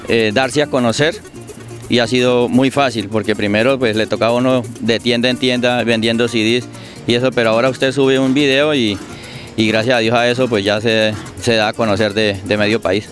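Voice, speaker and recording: A man's voice: mid-range voice, fairly even intonation, fairly quick, faint music behind. A middle-aged man with a Colombian accent giving casual interview answers outdoors as a musician guest. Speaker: musician guest, singer-songwriter. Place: outdoors in a park. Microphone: handheld interview microphone held by host.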